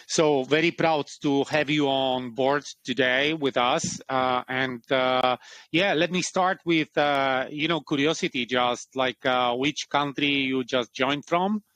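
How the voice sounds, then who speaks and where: A man's voice: medium pitch, slow pace, a bit bright, no room sound. A man hosting a podcast interview, talking hesitantly with many fillers. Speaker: podcast host.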